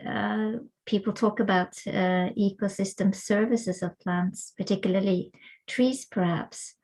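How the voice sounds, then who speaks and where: A woman's voice: mid-range voice, darkish tone, rough recording. Hesitant woman hosting an academic webinar. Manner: hesitant, many fillers. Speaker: webinar host and co-editor. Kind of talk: academic panel introduction. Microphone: video call.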